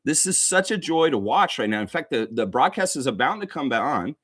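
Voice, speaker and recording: A man's voice: medium pitch, big pitch swings, brisk, fairly bright tone, breathy edge, dry recording. A man hosting a casual sports fan livestream, talking informally and effusively and repeating phrases for emphasis.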